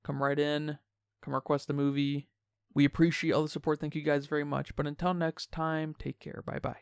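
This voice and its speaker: Guy hosting a film podcast, slightly high voice, creaky at the edges, chatty. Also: little pitch movement, brisk, no echo, studio quality. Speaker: podcast host. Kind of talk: casual film discussion.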